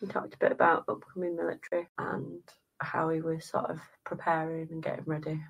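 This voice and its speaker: A woman's voice: sits low, monotone, a little fast, creaky, slightly rough, poor audio. A woman in her thirties co-hosting a podcast in casual chat. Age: 30s. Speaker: podcast co-host.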